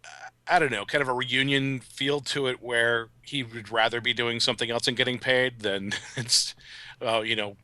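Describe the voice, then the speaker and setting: A man with a bright, forward voice and very dry, close sound, chatting casually as a guest reviewer. His delivery is conversational and wry. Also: medium pitch, steady tone, moderate pace, clear, resonant tone, no echo.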